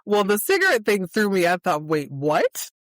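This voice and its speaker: Podcast host: woman, 40s, casual and reactive, middle pitch, tight dry recording. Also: huge pitch swings, moderate pace, dry recording. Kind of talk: casual chat, reacting to guest.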